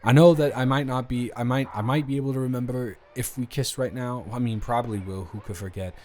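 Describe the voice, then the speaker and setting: A man's voice: mid-range voice, steady tone, fairly quick, a little mellow, lightly acted. A man playing a drunk young roommate sincerely confessing love in an intimate roleplay audio drama, stammering and rambling as he speaks to the listener. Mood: nervous, pleading. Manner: stammering, rambling, repeating words, tipsy.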